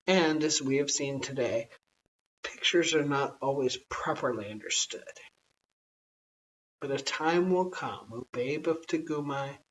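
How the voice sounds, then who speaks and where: Story reader doing a bossy old-time tribe chief guy, grand and slow, dull distant recording. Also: mid-range voice. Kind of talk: acted storybook dialogue.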